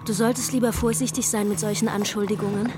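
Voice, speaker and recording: A woman's voice: medium pitch, fairly even intonation, fast talker, over music, lightly acted. A woman playing a cold, scheming young actress with a menacing edge in a tense radio drama. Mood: cold, accusing.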